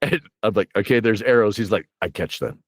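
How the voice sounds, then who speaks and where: A man with a low voice, recorded close and dry, tells stories as a game master in a casual, rambling chat. He keeps slipping into quoted reactions from his players as he goes. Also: fairly quick, no echo. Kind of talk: casual animated storytelling chat.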